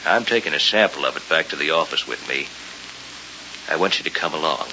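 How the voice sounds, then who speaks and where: An actor playing a sceptical, paternal middle-aged family doctor, probing and authoritative, in old-time radio mystery style. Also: male voice, extremely low pitch, animated, brisk. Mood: clinical, reasoning. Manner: probing, authoritative, clinical, emphatic. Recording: hissy, dull old radio recording.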